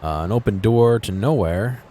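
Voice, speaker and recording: A man giving casual, off-the-cuff commentary as he explores a video game, low-key and musing to himself. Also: moderate pace, warm tone, slight background noise. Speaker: gaming video host.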